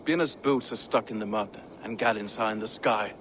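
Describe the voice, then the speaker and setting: An actor playing a proud, ambitious but loyal Viking shipbuilder, speaking in a measured, earnest way for a video game. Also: male voice, on the low side, a bit bright, a little hiss or hum, natural voice. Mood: frank, proud. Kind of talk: dramatic video game cutscene dialogue.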